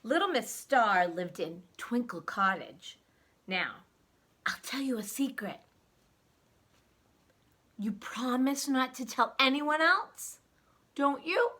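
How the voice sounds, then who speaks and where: Animated, bright-voiced woman reading to children. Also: a little high, slow pace, long pauses. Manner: expressive, dramatic emphasis, asks the listener questions. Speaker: children's story reader. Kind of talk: animated read-aloud storytelling for young children.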